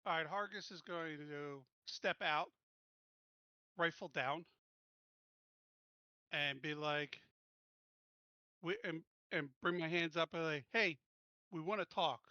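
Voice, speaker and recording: A male player at a roleplaying game speaks in a bright, forceful voice. He thinks aloud in casual, halting phrases. The sound is dry and a little muffled. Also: a little high, clear, resonant tone, dry recording. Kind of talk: casual game table talk.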